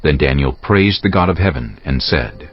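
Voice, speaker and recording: A man with a very low voice narrates scripture solemnly. His reading is measured but richly inflected, with faint music underneath and a slightly dull sound. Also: lively intonation, breathy edge.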